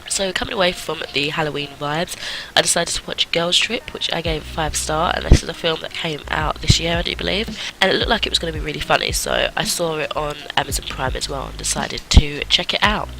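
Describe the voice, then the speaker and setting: A man with a cold talks through his month of films in a chatty, self-mocking vlog. His voice sits a little high for a man. The recording carries a steady hiss and small clicks behind him. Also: a little fast, vocal fry, poor audio. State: has a cold. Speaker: film review vlogger. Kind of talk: casual monthly film wrap-up.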